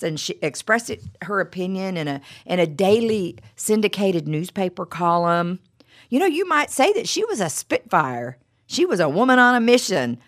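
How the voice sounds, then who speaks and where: A woman's voice: medium pitch, vocal fry, a bit bright. A middle-aged woman giving a devotional talk with personal stories, her voice froggy from a cold, chatty and full of stammered repeats and "you know".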